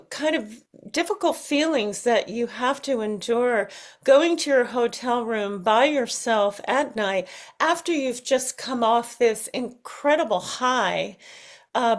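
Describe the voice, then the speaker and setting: American woman, middle-aged, interview guest. Higher voice, unhurried delivery, thoughtful and searching. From United States. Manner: thoughtful, halting, searching for words. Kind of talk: reflective interview conversation.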